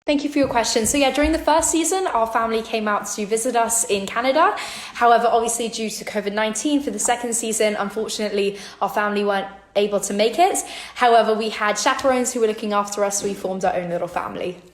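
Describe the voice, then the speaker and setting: A woman's voice: fairly high pitch, quick pace, roomy sound. A teenage actress with a mostly British accent that sometimes slips American, chatting casually as she answers fan questions at home. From born in New York, raised in London. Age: teens. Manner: chatty, repeats "really, really", light giggles. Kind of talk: casual vlog Q&A. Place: her home kitchen, indoors.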